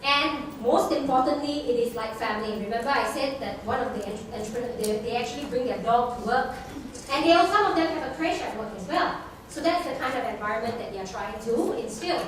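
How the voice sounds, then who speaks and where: High voiced woman rushing thru a conference talk, mic far off, big echoey hall with noise. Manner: hurried, apologetic, laughing asides. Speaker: conference speaker. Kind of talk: rushed conference presentation. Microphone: handheld microphone.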